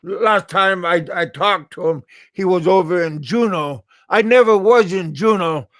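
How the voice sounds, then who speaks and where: A middle-aged American man chatting casually on a live stream, halting and repeating his words. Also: high voice, fairly bright tone, no room sound, clean studio sound. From USA. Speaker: livestream host.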